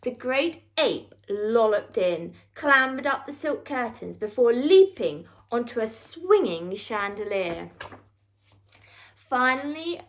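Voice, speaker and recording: A woman storyteller reading a children's book aloud with expressive, theatrical narration and deliberate emphasis. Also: a little high.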